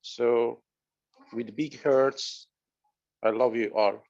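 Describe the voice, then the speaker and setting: A man from Morocco speaks slowly, with a Moroccan accent and careful, halting phrasing. His voice sits at a middle pitch. The video call audio is dull and lo-fi. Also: steady tone, gravelly, a little mellow. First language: Arabic. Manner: halting, non-native phrasing. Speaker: webinar panelist. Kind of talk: casual video call chat.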